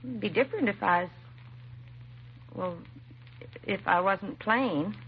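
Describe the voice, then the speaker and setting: An American actress playing a timid, self-doubting young secretary in a 1950s radio drama, hesitant and apologetic. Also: female voice, mid-range voice, slow pace, husky. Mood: self-deprecating, nervous. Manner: hesitant, nervous, apologetic, trailing and restarting. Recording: muffled vintage radio recording, faint hiss.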